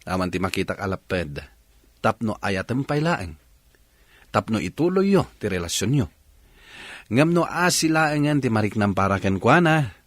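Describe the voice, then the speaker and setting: A male radio host delivers scripted advice and story narration in an Ilocano accent. His mid-pitched voice moves up and down in a lively way, close and dry. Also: no room sound.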